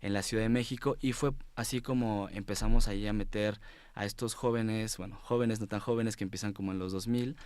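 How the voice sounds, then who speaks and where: Smooth low-voiced Mexican man, casually hesitant. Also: fairly even intonation, fairly quick, darkish tone, no echo. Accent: Mexican, Mexico City. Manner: hesitant, groping for words, informal. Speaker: interview guest. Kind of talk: casual studio conversation. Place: TV studio.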